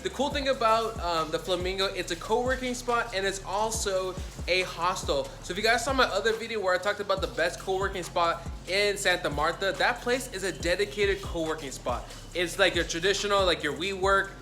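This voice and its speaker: A man vlogging a walking tour of a hostel, chatty and energetic, often calling out to viewers as guys. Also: sits high, brisk, faint music behind. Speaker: travel vlogger. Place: hostel, moving between rooms.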